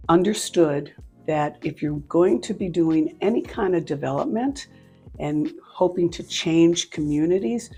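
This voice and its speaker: An older woman from Cleveland, Ohio, answering interview questions and telling her life story in an unhurried, pausing way. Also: on the low side, mellow, slightly rough. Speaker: interviewee, nonprofit leader. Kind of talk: casual interview conversation. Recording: faint background music.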